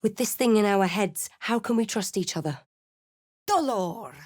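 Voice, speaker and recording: A woman voicing a snarky, brooding adventurer hero in a fantasy video game, deliberate and theatrical with dry wit. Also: medium pitch, lively intonation, darkish tone, dry recording. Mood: wry, snarky, then incantation, intense. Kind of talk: fantasy video game voice lines, narration and barks.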